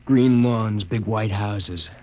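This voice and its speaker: A man's voice: fairly low pitch, slow pace, a little mellow. An actor playing a folksy, jumpy rocket crewman with an American accent in an old radio drama. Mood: nostalgic.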